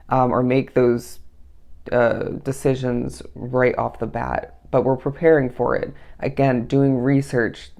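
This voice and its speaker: Tarot reader with a raspy, gravelly, tired voice. Casual, musing delivery, keeps checking in with the listener. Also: medium pitch, a little mellow. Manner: meandering, musing, often asks the listener "okay?". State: tired, up at three in the morning. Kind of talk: daily tarot reading to camera.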